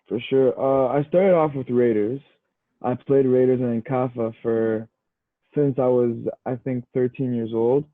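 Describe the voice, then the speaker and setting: A man's voice: medium pitch, unhurried, no room sound. Young man on a tinny, dry call. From Canada. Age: young adult. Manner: relaxed, easygoing, says "bro" and "you know" a lot. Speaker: guest, university football player. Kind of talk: casual podcast chat. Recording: tinny phone-like line, dry.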